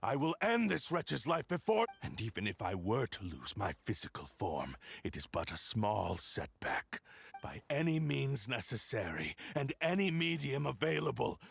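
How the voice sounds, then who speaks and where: An actor playing an arrogant, obsessive cursed sword spirit in a video game, haughty and menacing, with gravelly theatrical delivery. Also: male voice, medium pitch, sing-song, normal speed, exaggerated voice. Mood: bitter, threatening. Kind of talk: dramatic video game voice acting.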